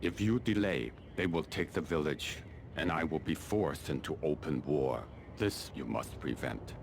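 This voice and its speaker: A stern, calculating army colonel from China for a video game. His voice is extremely deep with a gravelly creak. He speaks formally and deliberately, with haughty authority, over clear rumbling noise. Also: expressive, exaggerated voice. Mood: grave, commanding. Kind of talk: scripted video game dialogue.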